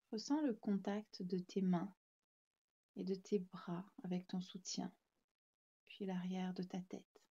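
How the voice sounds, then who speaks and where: A woman guiding a yoga nidra relaxation, speaking in calm, soothing, gently instructing short phrases. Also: medium pitch, slightly rough, muffled recording. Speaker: yoga nidra meditation guide. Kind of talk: guided relaxation meditation narration.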